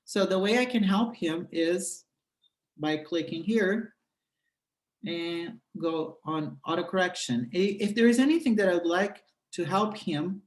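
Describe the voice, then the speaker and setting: Portuguese native talking through an app demo, lots of uhs, mid voice in a roomy echoey space. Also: mid-range voice. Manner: explanatory, halting with frequent "uh" fillers. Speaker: presenter demonstrating a language-exchange app. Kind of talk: screen walkthrough tutorial. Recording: roomy echo.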